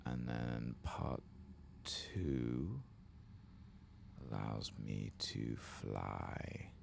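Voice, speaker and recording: A man speaks in an extremely deep, softly hushed voice thick with creak. He narrates his game in ASMR style, halting as he goes, over faint background noise. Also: lively intonation. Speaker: game streamer, ASMR host. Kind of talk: soft-spoken, whispered ASMR game commentary.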